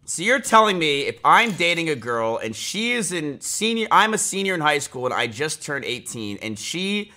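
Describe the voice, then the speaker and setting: Bright-voiced, blunt young streamer. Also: male voice, fairly high pitch, sing-song, moderate pace, few pauses. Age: 20s. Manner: rambling, heated and blunt, heavy filler words and restarts. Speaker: livestreamer. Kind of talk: casual live-stream reaction. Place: small indoor room, home streaming setup.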